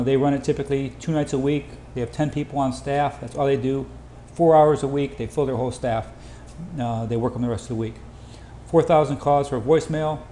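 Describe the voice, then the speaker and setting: A man giving an informal sales presentation over slides, with hurried, clipped phrasing. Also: mid-range voice, dark tone. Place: indoor room, voice-over for slides. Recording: roomy echo, faint background noise.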